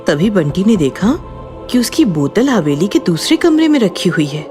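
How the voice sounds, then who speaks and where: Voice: mid-range voice, very animated, normal speed, darkish tone, faint music behind. A narrator reading a scripted horror story.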